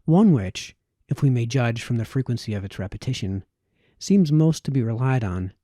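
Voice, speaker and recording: A man reads a formal old essay aloud in a very dark, soft-edged voice. His phrasing is measured, with an occasional stumble over a word. The sound is dry and close, with little room around it. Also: medium pitch, animated, no room sound. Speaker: amateur reader of historical texts. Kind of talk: read-aloud of formal historical essay, audiobook style.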